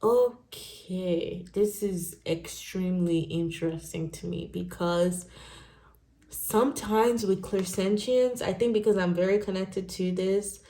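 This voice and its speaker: A woman's voice: medium pitch, takes it slowly. A woman from Nigeria, speaking English as a second language, giving a casual tarot reading to viewers in a chatty way, often tagging her sentences with "okay?" and "right?". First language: not English. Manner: chatty, animated, many "okay?" and "right?" tags. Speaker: tarot reader. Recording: roomy echo.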